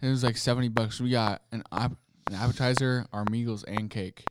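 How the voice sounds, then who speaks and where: A young man telling anecdotes in casual chat, in an informal, halting way. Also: mid-range voice, fairly even intonation. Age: young adult. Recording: very noisy recording with clicks.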